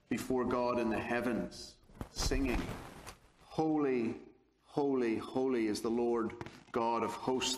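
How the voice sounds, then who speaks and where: A man from Northern Ireland with a Belfast accent, giving a theology lecture in a measured, deliberate way with touches of dry humor. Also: medium pitch, slight background noise. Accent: Belfast, Northern Irish. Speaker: guest lecturer. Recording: echoey lecture hall.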